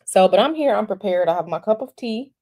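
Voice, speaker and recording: A woman hosting a tarot livestream, talking casually in a halting, repetitive way with many fillers, sniffly from a cold. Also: medium pitch, expressive, dark tone.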